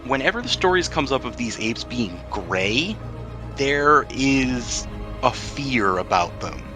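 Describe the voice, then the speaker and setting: A man's voice: mid-range voice, sing-song, slow, over music. A man narrating a tabletop roleplaying game as game master, switching between dramatic description and casual rules talk.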